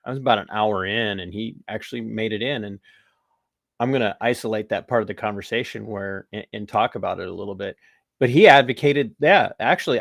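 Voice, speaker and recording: An American man hosting a podcast, talking politics in a rambling, animated way with restarts and laughs. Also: fairly low pitch, vocal fry, no room sound, clean studio sound. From United States. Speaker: podcast host. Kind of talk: casual political talk.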